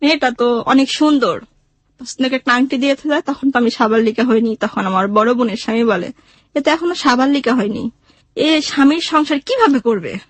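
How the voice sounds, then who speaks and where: A woman's voice: high voice, normal speed, no echo. A woman with a Bangladeshi accent telling her life story emotionally, in a plaintive way, acting out the dialogue she remembers. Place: radio studio.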